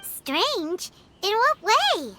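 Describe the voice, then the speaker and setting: A woman voicing a tiny, excitable, childlike fairy companion in a video game, squeaky and exaggerated in her expression. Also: drawn-out words, slight background noise, exaggerated voice. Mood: curious. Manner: third-person self-reference, exaggerated, expressive. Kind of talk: scripted video game voice acting.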